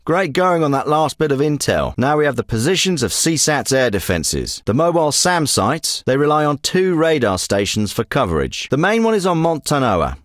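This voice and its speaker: An actor voicing a tough, curt special forces handler in a military video game, giving orders in a clipped, commanding way that turns profane under pressure. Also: male voice, a little high, big pitch swings, moderate pace, few pauses, dry recording, clean studio sound. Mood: briefing. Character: tough covert ops handler, middle-aged man, curt. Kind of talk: game voice acting.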